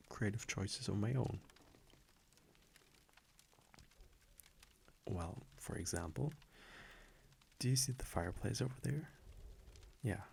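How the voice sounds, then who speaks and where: Very deep, slow vampire boyfriend voiced by a man. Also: gravelly. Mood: relaxed, casual. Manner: soft, unhurried, affectionate, gently teasing. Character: tender, teasing immortal vampire boyfriend. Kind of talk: intimate ASMR audio roleplay. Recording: faint background noise, crackling fireplace ambience.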